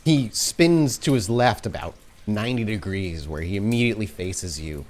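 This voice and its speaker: Deep-voiced male game master narrating. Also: animated, moderate pace, darkish tone. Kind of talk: descriptive narration of a tabletop game.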